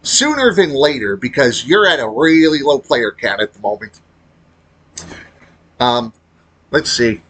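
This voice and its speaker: A man with a rough, husky voice talks through his gaming commentary off the cuff. His pitch moves up and down a lot while the pace stays slow. He rambles and halts, reworking his thoughts as he speaks. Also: big pitch swings, a bit bright, low-quality recording. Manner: rambling, halting delivery with trailing thoughts. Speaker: video creator commenting on a game. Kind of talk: unscripted casual gaming commentary.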